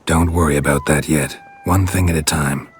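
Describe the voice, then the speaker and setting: A man playing a gruff, stoic middle-aged monster hunter in a video game, gravelly and laconic, calm and caring. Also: extremely low pitch, normal speed, slight background noise. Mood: quiet, reassuring. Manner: laconic, understated.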